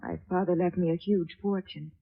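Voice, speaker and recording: An actress playing a wealthy, high-strung young woman in an emotional, theatrical 1940s radio drama style. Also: steady tone, moderate pace, gravelly, husky. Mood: pleading, intimate. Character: wealthy, anxious, high-strung young heiress, pleading and imperious. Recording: dull 1940s radio recording.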